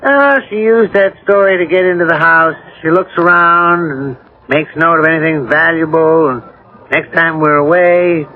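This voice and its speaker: An actor playing a suspicious, scornful older husband in a 1980s radio drama, sardonic and skeptical. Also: male voice, fairly high pitch, moderate pace, close to an everyday voice. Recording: narrow-band vintage radio broadcast.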